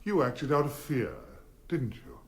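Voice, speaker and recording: A man acting a formidable middle-aged barrister in a radio drama, speaking deliberately and rhetorically. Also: medium pitch, huge pitch swings, vocal fry, full character voice. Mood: probing, insistent. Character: formidable middle-aged male barrister, probing in court, gentle as father.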